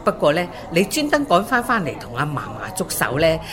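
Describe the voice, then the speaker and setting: A woman playing a doting, nagging eighty-year-old grandmother in an audio drama, with a Hong Kong Cantonese accent and coaxing, drawn-out phrases. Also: fairly low pitch, very animated, a crowd in the background. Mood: pleased, fond. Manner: drawn-out, coaxing, scolding fondly.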